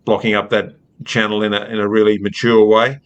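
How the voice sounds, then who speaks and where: A man, a rugby writer and podcast guest giving measured, casual analysis. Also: fairly low pitch, level tone, clean tone, dull audio. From Australia.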